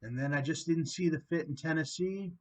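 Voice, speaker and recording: A man's voice: on the high side, fairly quick. A man from Canada hosting a casual phone chat, rambling with lots of fillers. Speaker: host calling a guest.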